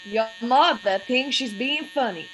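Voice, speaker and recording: Woman acting a middle-aged household matriarch, high-pitched, scornful, sarcastic, commanding, radio play. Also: measured pace, fairly bright tone, a little hiss or hum, poor audio. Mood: irritated, sarcastic. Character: bossy, scornful middle-aged daughter-in-law fed up with ghost. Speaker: actor in an amateur radio play.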